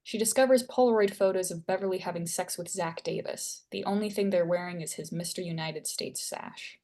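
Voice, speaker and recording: A woman's voice: lowish voice, brisk, darkish tone. A woman reading stage directions as the narrator of a screenplay table read.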